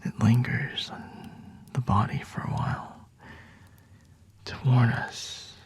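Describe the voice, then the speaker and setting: A man playing a flirty, teasing, predatory vampire in an ASMR roleplay, with a breathy, husky whisper and intimate delivery. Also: mid-range voice, fairly even intonation, slow, no echo, heavily acted. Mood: intimate, possessive.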